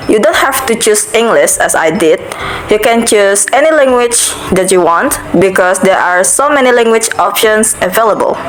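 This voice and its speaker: A young woman from Indonesia, narrating a step-by-step app tutorial in a careful, steady way. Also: mid-range voice, poor audio. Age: young adult. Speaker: student giving an app tutorial. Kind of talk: screen-recorded tutorial voice-over.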